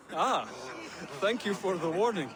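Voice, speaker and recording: A man's voice: sits high, animated, takes it slowly. A man playing a polite young foreign apprentice in a game, earnest and reserved. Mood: polite, guarded. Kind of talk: cinematic video game acting. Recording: crowd chatter behind the voice. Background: crowd at a feast.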